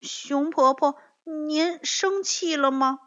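A small storybook frog with a high, childish voice, put on by a woman. It is plucky and emphatic, and the recording sounds slightly dull. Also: slow, fairly bright tone. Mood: timid. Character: young frog, defiant little child-like friend.

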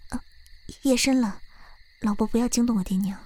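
A woman playing a gentle, polite young married lady of a wealthy family in a Chinese period audio drama. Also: on the high side, fairly quick, no room sound, lightly acted. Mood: soft, subdued.

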